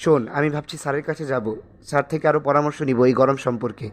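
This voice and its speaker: Woman acting earnest cartoon schoolkid, polite, fast pace, helpful. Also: sits low, fairly even intonation, a little hiss or hum, natural voice. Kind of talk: didactic cartoon dialogue.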